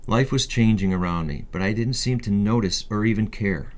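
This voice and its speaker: A man with a low-pitched voice narrates a personal memoir. He reads from a prepared script in the first person, as an audiobook narrator would. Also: moderate pace, a little mellow.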